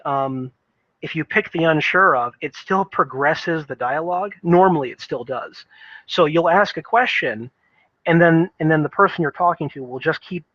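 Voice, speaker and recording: A man giving casual, unscripted game commentary in a rambling, halting way, often repeating words as he thinks. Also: on the high side, a bit bright, dry recording, highs cut off. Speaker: video game reviewer.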